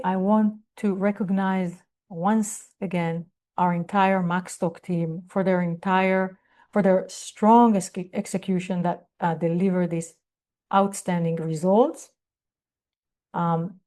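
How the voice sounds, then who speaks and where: A woman company executive presenting on an earnings call in a formal, measured business manner. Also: mid-range voice, mellow.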